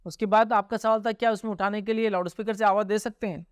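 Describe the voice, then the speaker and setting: A man's voice: high-pitched, fairly even intonation, fast, smooth, no room sound, studio quality. A man with an Indian accent answering religious questions informally as a scholar, explaining and often checking that listeners follow.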